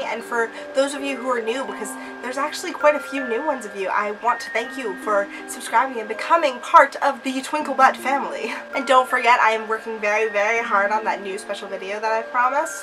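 A woman in her twenties speaks in a fairly high voice that is a touch off from a cold. She chats casually and emphatically, as a vlogger does to regular viewers. She is recorded a little away from the camera microphone, with clear music behind her. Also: recorded from a distance, rough recording. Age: 20s. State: slightly off voice from a cold. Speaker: vlogger, channel host. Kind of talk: casual vlog chat to viewers. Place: small cluttered room at home.

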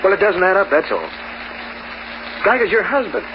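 An actor playing a cynical, streetwise locksmith who narrates in hardboiled slang, in an old-time radio noir style. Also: male voice, high voice, big pitch swings, normal speed. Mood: suspicious, cool. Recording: noisy, muffled old radio recording.

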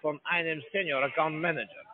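A man with a foreign accent making a sales pitch on a phone call in broken, halting German. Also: fairly even intonation. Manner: broken halting German, many restarts and fillers. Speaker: scam call center agent. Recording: tinny phone line.

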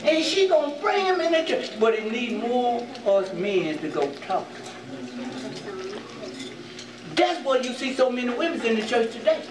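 Older man, very high voice, swooping animated pitch, impassioned sermon, repetitive emphatic phrasing, echoey distant recording. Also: moderate pace, pauses often, background noise. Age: older, 60s or 70s. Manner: emphatic, repetitive, stammering in bursts, colloquial. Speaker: pastor preaching. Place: indoor church sanctuary, pacing before congregation. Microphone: distant camera in the room. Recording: echoey church hall from far off, loud congregation.

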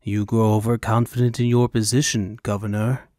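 A man's voice: fairly low pitch, level tone, moderate pace, a little mellow, no echo, clean studio sound, lightly acted. A man voicing an imperious witch hunter in an audiobook, with a cold, menacing drawl. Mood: cold menace.

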